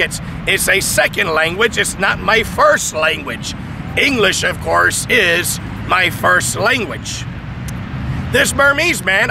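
A man in his thirties preaching in an open park at dusk, speaking into a handheld mic with emphatic, repetitive storytelling. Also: high voice. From Thailand. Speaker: street preacher. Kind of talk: outdoor sermon storytelling. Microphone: handheld mic, camera at mid distance. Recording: outdoor recording with clear traffic noise. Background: city traffic.

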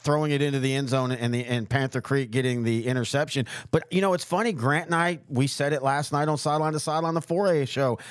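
A man's voice: fairly high pitch, no room sound, clean studio sound. A man co-hosting a sports show, casually breaking down games with emphatic repetition. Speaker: sports show co-host and analyst.

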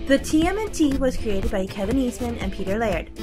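A woman's voice: fairly high pitch, animated, a little fast. A woman reading a scripted introduction as an announcer. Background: music.

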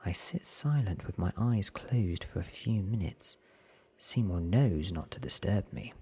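A man in his thirties reading a novel aloud in a hushed, whispery ASMR style, close to the microphone. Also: low-pitched, lively intonation. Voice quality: breathy, whispery. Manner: slow, hushed, deliberate. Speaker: ASMR story reader. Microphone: close microphone with foam windscreen.

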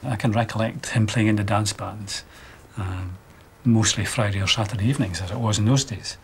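An older man interviewed in a living room, recalling his father in a gentle, measured way. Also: fairly low pitch, creaky, husky. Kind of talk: casual recollection in an interview.

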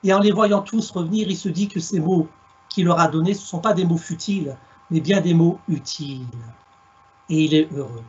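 A man's voice: a little high, husky, muffled recording. A middle-aged man from Alsace, giving a reflective storytelling talk over a video call with a headset. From France, Alsace. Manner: measured, reflective, plays on words. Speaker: guest speaker, storyteller. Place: home room on a video call.

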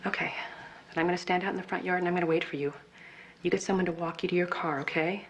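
A woman's voice: fairly low pitch, steady tone, fast talker, lightly acted. A middle-aged woman playing an anxious, protective mother in a naturalistic TV drama. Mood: anxious, insistent. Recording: dull sound, faint background noise.

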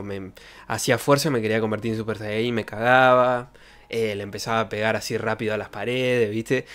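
A man's voice: medium pitch, a bit bright. Young Argentine man chatting casually on stream. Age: young adult. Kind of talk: casual livestream chat. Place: small bedroom streaming setup. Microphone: desk microphone with pop filter, close.

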